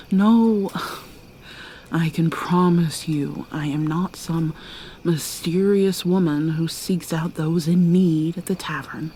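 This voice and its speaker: A woman voicing a gentle young mermaid in an ASMR roleplay, speaking softly and hesitantly, often in a whisper. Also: lowish voice, slow, pauses often, a little hiss or hum. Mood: shy.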